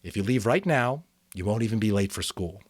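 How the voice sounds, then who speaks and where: A man voicing a gentle, reassuring mother who explains patiently in a novel reading. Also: on the low side, expressive, brisk, no echo. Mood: patient, instructing.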